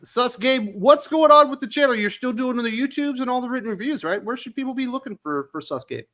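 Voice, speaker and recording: A man with a high voice that jumps all over the place hosts a relaxed comic book talk show. He ribs his guest and laughs readily. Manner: teasing, jokey, quick to laugh. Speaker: podcast host. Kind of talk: casual comic book chat.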